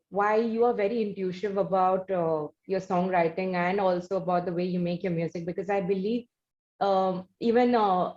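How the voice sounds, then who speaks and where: A woman with an Indian accent hosting an interview in a measured, formally phrased, conversational way with hesitant pauses. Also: medium pitch, darkish tone. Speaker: interview host. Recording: roomy, dull lo-fi audio.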